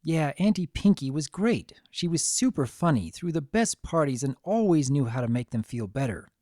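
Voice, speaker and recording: Male narrator, dramatic story reading, gentle, animated intonation, dry recording. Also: on the high side, normal speed, dry recording. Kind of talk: dramatic scripted fan fiction narration.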